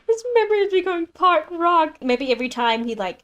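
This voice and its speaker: A woman's voice: extremely high pitch, big pitch swings, brisk, fairly bright tone. A woman giving casual, joking, colloquial commentary as she plays through a game on stream. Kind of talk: casual live playthrough commentary.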